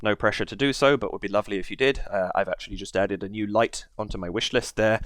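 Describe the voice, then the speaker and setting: A man's voice: on the low side, quick pace, fairly bright tone, dry recording. A man hosting his reaction channel from a basement studio, chatting casually with a head cold and rambling through many fillers. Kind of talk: casual to-camera chat.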